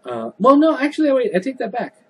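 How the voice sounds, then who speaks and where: A man's voice: very high voice, wildly swooping pitch, fast talker, fairly bright tone, slight background noise. A man co-hosting a casual comics podcast, explaining story details at length like someone who knows the material well. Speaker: podcast co-host. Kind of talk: casual chat about comics.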